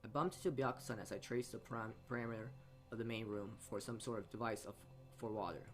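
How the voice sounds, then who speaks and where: Halting man reading aloud over music. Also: on the low side, fairly even intonation. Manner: halting reading, often stumbling and rereading words. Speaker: player reading a visual novel aloud. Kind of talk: reading a story game aloud, informal narration.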